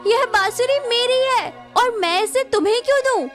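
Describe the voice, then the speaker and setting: A woman's voice: very high-pitched, moderate pace, fairly bright tone, faint music behind. A woman voicing a young, defiant princess in a cartoon, with an earnest, indignant manner. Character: young princess, defiant captive flute owner. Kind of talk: Hindi moral-story cartoon acting.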